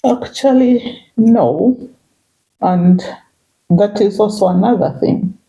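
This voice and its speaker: A Zambian-accented woman with a gravelly, mellow voice that sits fairly low for a woman. She talks in a loose, reflective way, circling back with "you know" as she thinks. The recording carries a roomy echo. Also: slow, dull audio. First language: Bemba. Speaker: guest. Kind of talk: casual interview chat. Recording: echoey room.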